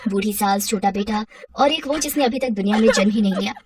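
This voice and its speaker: A woman's voice: mid-range voice, quick pace, darkish tone, a little hiss or hum. A woman reading a scripted children's story with dramatic delivery. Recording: roomy echo, distant from mic.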